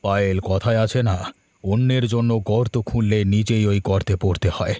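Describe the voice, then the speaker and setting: A man's voice: lowish voice, steady tone, normal speed, mellow, no room sound, lightly acted. A man voicing a pious, righteous village father who moralises earnestly in a cartoon. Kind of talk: cartoon dubbing.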